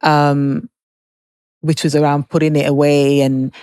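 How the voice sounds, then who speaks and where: A woman's voice: sits low, flat delivery, a little slow, darkish tone, smooth, dry recording, studio quality. A woman hosting a podcast, starting with stammers and agreeing often with her guests. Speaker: podcast host. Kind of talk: podcast conversation.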